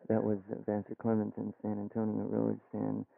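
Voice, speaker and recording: An American man hosting a casual radio interview, asking questions in a low-key, conversational way. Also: monotone, a little fast, gravelly, no room sound, soft background music. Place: radio studio. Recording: tinny, phone-like vintage radio aircheck.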